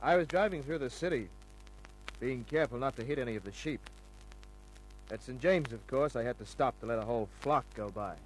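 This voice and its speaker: A man's voice: medium pitch, fairly quick, music in the background, lightly acted, poor audio. An actor playing a wry, slangy hard-boiled investigator who narrates his own case in an old-time radio drama. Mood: wry narration. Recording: old radio recording, faint hum.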